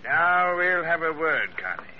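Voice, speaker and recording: An actor playing a cold, commanding villain sea captain in an old-time radio adventure, giving threatening clipped orders with mocking politeness. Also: male voice, fairly high pitch, sing-song, measured pace. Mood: cold menace. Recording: muffled, hissy vintage radio recording.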